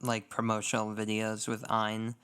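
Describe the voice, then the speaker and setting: Smooth, clear-voiced young man chatting casually. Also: fairly low pitch, monotone, moderate pace, fairly bright tone. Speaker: podcast co-host. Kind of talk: casual gaming podcast chat.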